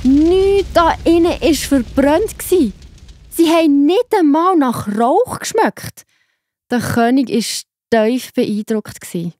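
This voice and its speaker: Mellow Swiss-accented woman telling stories. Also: high-pitched, lively intonation, takes it slowly, dry recording. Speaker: narrator. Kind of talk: children's Bible storytelling, scripted narration.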